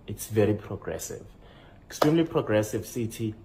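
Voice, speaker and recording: A man with a South African accent talks through his travel impressions in a relaxed vlog style, jumping up in pitch each time he announces the next number. A faint hiss sits under the recording. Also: moderate pace. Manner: animated, jumps up in pitch to announce list items. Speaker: vlogger. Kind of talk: casual travel vlog chat.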